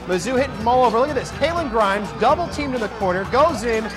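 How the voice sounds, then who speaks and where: An American man working as a TV sports anchor, reading highlights and sports news in punchy, excitable phrases. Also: quick pace, over music, crowd noise.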